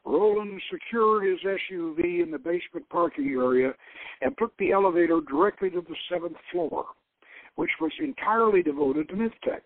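A man's voice: sits high, expressive, normal speed, no room sound. Man reading fiction over a tinny, narrow-band line. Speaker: show host reading his own novel. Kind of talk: dramatic reading of an adventure novel. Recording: tinny, narrow-band radio-style sound.

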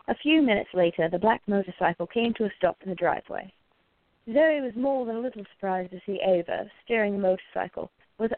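A woman's voice: mid-range voice, no echo, narrow, tinny audio. A woman narrating a radio play from a script.

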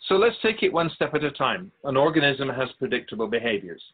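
A man playing a patient, all-knowing keeper of souls in a radio drama, explaining things in a measured, gently wry way. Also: mid-range voice, very animated, breathy edge, phone-quality sound. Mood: patient, explanatory. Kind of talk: scripted radio drama dialogue.

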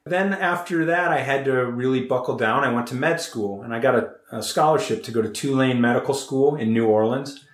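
A man's voice: medium pitch, fairly quick, hardly any pauses. An upbeat, chatty American man in his forties, talking casually on a podcast. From Chicago, United States. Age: 40s. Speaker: podcast host and athlete. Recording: roomy, echoing podcast recording.

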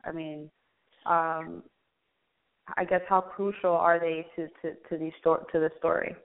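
A woman's voice: deep voice, level tone, unhurried, vocal fry. A woman hosting an interview in a casual, rambling way, often searching for her words. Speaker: interviewer, radio-style show host. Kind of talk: casual chat. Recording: thin phone-like band-limited sound.

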